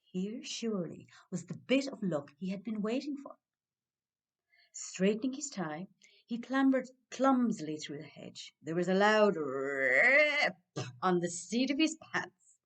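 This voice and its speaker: Irish lady doing kids storytime, really expressive, swoopy pitch, dramatic pauses. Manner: dramatic, with hushed suspense. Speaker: library storyteller.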